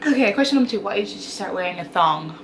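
A woman's voice: mid-range voice, lively intonation, rapid-fire, background noise. A young Irish woman hosting a casual get-ready vlog, chatty and giggly, in a small bedroom. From Ireland. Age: young adult. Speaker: vlogger, host. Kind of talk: casual chat.

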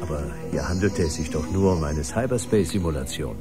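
An actor playing a cool, factual Mars settler who instructs like a guide in a science-fiction radio drama. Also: male voice, a bass voice, animated, a little fast, over loud music. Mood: cool, firm.

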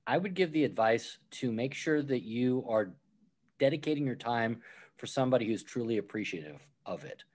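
Man explaining restaurant management calmly. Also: mid-range voice, moderate pace. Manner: explains with worked examples, acts out quoted replies. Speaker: author and restaurant guest. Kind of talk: conversational podcast interview.

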